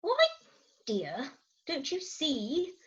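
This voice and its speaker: A woman's voice: high voice, big pitch swings, very slow, lo-fi sound, full character voice. A woman acting a fretful, melodramatic Victorian wife, swooping voice. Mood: flustered confession. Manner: melodramatic, confiding, emphatic. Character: fretful, theatrical Victorian wife of thirty-six. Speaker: actor in an amateur staged reading. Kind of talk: Victorian farce stage acting. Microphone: video call.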